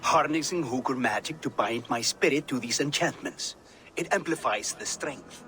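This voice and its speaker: A man's voice: medium pitch, normal speed, sharp tone, faint music behind, lightly acted. An actor playing an eager, self-assured builder and rune magician in a video game, explaining his craft. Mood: absorbed, confident. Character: enthusiastic, skilled male builder and rune magician. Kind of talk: video game cutscene acting.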